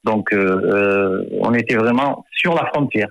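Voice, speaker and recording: A man's voice: on the low side, unhurried, creaky, fairly bright tone, no echo. A man in his fifties, an author born in France, talking in a radio interview and hesitating often, with many fillers and repeated words. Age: 50s.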